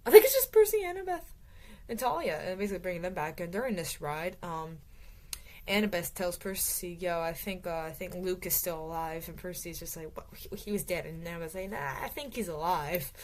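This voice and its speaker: A woman talks through a book chapter by chapter in a loose, rambling way, full of fillers and restarts. Her voice sits a little low and sounds tired from talking so long. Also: expressive, fairly quick, a little mellow. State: voice getting tired. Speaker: book reviewer. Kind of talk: casual book review recap.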